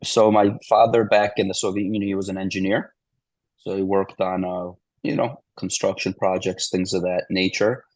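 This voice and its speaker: Deep, flat-voiced man in his forties. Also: normal speed, no room sound, poor audio. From Ukraine. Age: 40s. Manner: meandering, restarts, repeats "the bottom line is". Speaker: interview guest. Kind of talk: casual interview storytelling.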